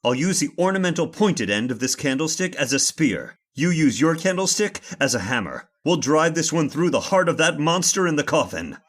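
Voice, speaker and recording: An actor playing a resolute, commanding vampire hunter in an old-time radio horror drama. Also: male voice, fairly high pitch, sing-song, moderate pace, hardly any pauses. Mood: firm, commanding.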